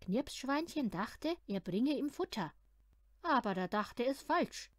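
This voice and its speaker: Female storyteller for children's audiobook, very animated, measured pace, dry close sound. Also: high voice, dry recording. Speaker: audiobook reader of children's stories. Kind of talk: expressive storytelling narration for children.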